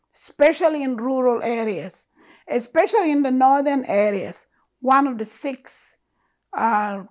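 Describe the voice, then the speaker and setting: Older woman speaking slowly and earnestly. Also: high-pitched. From Uganda. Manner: slow, earnest and emphatic. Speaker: storyteller speaker. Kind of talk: storytelling talk to an audience. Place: living room with stone fireplace, indoors. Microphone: lapel mic.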